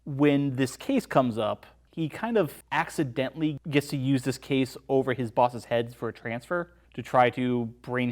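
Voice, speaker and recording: A man's voice: mid-range voice, darkish tone. A man as a podcast guest in a casual film discussion, explaining things informally.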